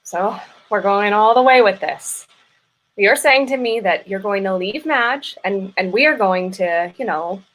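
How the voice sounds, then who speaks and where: A woman's voice: medium pitch, unhurried, fairly bright tone, lightly acted, rough recording. A woman playing a guilt-ridden, dramatic mistress in a comedic play reading, earnest and emphatic as she confesses. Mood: anxious. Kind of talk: live play reading, comedic stage acting.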